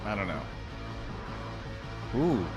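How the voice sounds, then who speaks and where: Casual man reacting over loud music. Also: lowish voice, big pitch swings, slow. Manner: slangy, filler-heavy musing aloud. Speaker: reaction video host. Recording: music playing behind voice. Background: loud game music.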